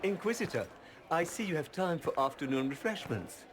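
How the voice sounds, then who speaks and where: An actor playing a haughty, vain courtly gentleman in a video game, arch and mannered. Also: fairly high pitch, very animated, moderate pace, noisy background. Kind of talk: video game dialogue acting.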